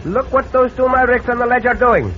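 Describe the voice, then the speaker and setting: Good-humored cowboy hero, old radio western, muffled and a bit hissy. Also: male voice, extremely high pitch, fast talker. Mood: alert. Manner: formal, precise phrasing. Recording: muffled, noisy vintage radio recording.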